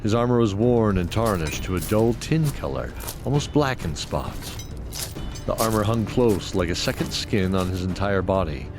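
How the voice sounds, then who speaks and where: A man's voice: on the low side, lively intonation, normal speed. A man reading scripted fantasy storytelling narration for an audio drama. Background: music.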